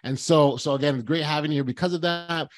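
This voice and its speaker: A man's voice: a little high, steady tone, fairly quick, no room sound. A man hosting a podcast interview, effusive and gushing, with many restarts and repeated words. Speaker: podcast host. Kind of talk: podcast intro and interview chat.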